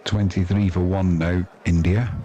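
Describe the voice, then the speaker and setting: A man's voice: sits low, level tone, measured pace, dark tone, dull audio. A British man giving informal, chatty and irreverent live cricket commentary in colloquial language. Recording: crowd noise behind the voice. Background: sports crowd.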